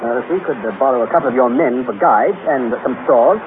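An actor playing an excitable, impatient stranded traveler, agitated and blurting, in a 1930s radio drama. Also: male voice, mid-range voice, fast, close to an everyday voice. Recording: very noisy, tinny 1930s radio recording.

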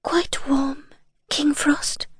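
Meek storybook maiden, acted by a woman reader, high whispery trembling voice, courteous and gentle, dry recording. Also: steady tone, extremely slow, no room sound. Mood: faint, courteous.

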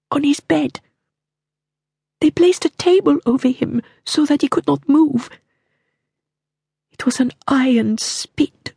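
A woman reading the part of a troubled medieval queen haunted by guilt, speaking in a breathy, hushed way. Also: high voice, dry recording, studio quality, voice pushed up, exaggerated voice. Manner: anxious, whispering.

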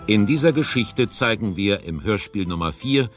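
A man narrating a scripted audio drama trailer in a measured storytelling tone. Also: lowish voice, expressive, faint music behind.

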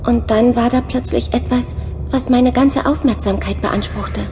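A woman voicing a female onboard computer in an audio drama. She speaks softly and earnestly, lonely and longing to have a body. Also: on the high side, unhurried, background noise, natural voice. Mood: troubled. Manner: soft-spoken, earnest, searching. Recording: music underscore behind voice.

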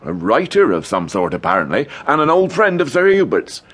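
An actor playing a chatty, deferential village police sergeant in a radio detective drama. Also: male voice, medium pitch, wildly swooping pitch, fairly quick, fairly bright tone, slightly rough, heavily acted. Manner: chatty, deferential, full of sirs.

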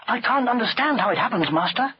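A man's voice: very high voice, animated, fast talker, slightly rough, muffled recording, voice pushed up, full character voice. A man voicing a cheeky, scheming young servant boy in a storybook, glib, chatty and breezily innocent. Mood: feigned innocence. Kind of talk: storybook character voice.

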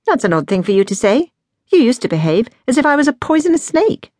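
A woman voicing a blunt, outspoken eighteen-year-old younger sister in an audiobook, sharp and direct. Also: fairly high pitch, flat delivery, moderate pace, no echo, clean studio sound, pitched above the performer's own voice, lightly acted. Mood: wary, pointed. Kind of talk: audiobook character dialogue.

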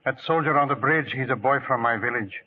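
An actor playing a gentle, conscientious old guerrilla guide, speaking solemnly and simply in vintage radio drama style. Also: male voice, medium pitch, fast, slight background noise, natural voice. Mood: hushed, watchful. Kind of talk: 1940s radio acting. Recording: narrowband vintage radio recording, faint music.

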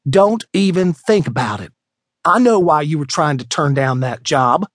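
A man voicing a bossy, folksy woman who runs a shop kitchen, scolding loudly in down-home slang. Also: fairly high pitch, animated, moderate pace, no echo, studio quality.

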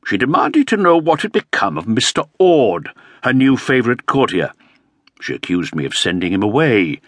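A man's voice: mid-range voice, big pitch swings, normal speed, a bit bright, no room sound, studio quality, voice pushed up. A man voicing a put-upon, aging Elizabethan earl who speaks in a plaintive, complaining way. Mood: aggrieved.

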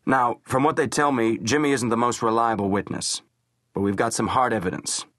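A man voicing a gruff middle-aged naval intelligence commander who talks bluntly and gives orders. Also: mid-range voice, fairly even intonation, a little fast, a bit bright, no echo, studio quality, voice pushed up, close to an everyday voice. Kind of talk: audiobook character voice.

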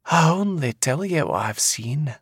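A man's voice: fairly high pitch, expressive, a little slow, slightly rough, no echo, clean studio sound, pitched above the performer's own voice, exaggerated voice. A man voicing an eerie female seer with a Scottish accent, making cryptic, certain prophecies in archaic dialect for an audiobook. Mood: flatly certain. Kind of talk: dramatic audiobook character voice.

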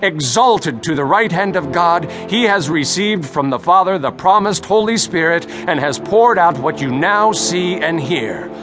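An actor playing an earnest apostle preaching boldly, a man declaiming deliberately in a dramatized audio Bible. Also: fairly high pitch, animated. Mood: assured, urgent. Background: music.

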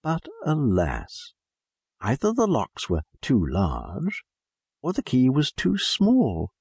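A man narrating a children's storybook as a scripted audiobook, in an expressive storytelling manner. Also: mid-range voice, takes it slowly, creaky, husky, no echo, studio quality.

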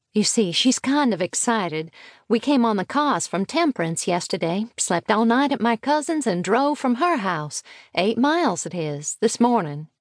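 A woman voicing a brisk, strict country mother in a storybook, plain-spoken, admonishing and sardonic. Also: on the high side, dry recording, clean studio sound, voice pushed up, close to an everyday voice.

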